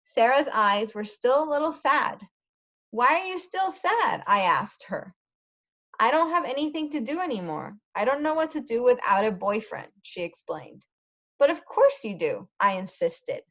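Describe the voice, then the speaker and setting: A woman's voice: on the high side, very animated, unhurried, fairly bright tone. A woman tutor reading a story aloud for language learners, carefully repeating key words and adding short translations. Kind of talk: slow read-aloud of a story for English learners, with brief Spanish glosses.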